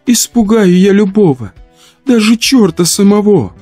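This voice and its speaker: A man's voice: on the high side, big pitch swings, moderate pace, darkish tone, faint music behind, pitched above the performer's own voice. A man voicing a murdered woman returned as a nervous, bitter, eerie spirit in an audio horror story.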